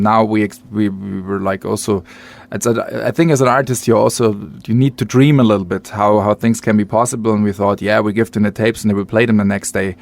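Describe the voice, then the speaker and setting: A man who is not a native speaker, chatting as an artist guest in an interview, halting and rephrasing as he explains. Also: lowish voice. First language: not English. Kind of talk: casual conversational interview.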